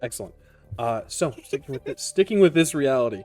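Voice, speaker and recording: A man speaking as the game master and host of a tabletop roleplaying session, choosing his phrases in a measured way. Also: medium pitch, lively intonation, mellow, faint music behind.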